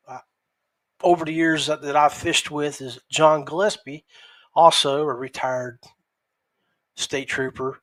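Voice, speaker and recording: A middle-aged man hosting a podcast, giving a casual solo recap in a halting way with repeats and pauses. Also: on the high side. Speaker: podcast host.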